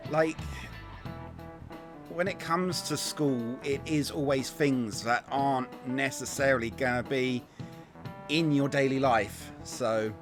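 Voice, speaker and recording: A man's voice: medium pitch, slow pace. A man streaming a game with casual, rambling live commentary, wry and sweary. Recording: game music behind the voice.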